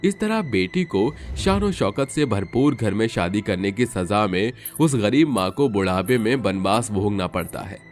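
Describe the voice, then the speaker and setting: A man's voice: on the low side, expressive, unhurried. A man reading scripted, dramatic storytelling narration. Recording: music under the voice.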